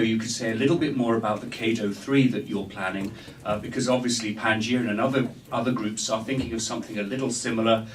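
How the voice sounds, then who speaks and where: Booming man asking from a distance. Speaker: audience member asking a question. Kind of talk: conference question. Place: conference meeting room. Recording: far from mic, faint noise.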